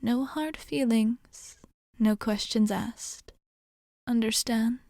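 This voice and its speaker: A woman voicing a gentle, courteous vampire bartender in an ASMR roleplay, speaking in a soft, breathy, near-whispered voice with polite, formal reassurance. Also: a little high, slow pace, no echo, studio quality. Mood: soothing, courteous.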